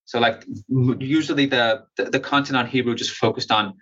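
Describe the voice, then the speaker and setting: A young man talks through his answers in a bright, forceful voice, stumbling over restarts and leaning on fillers. His voice comes through a slightly dull, lo-fi recording. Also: mid-range voice, steady tone, brisk, husky. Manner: hesitant, many restarts and fillers. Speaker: interview guest. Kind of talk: conversational interview answer.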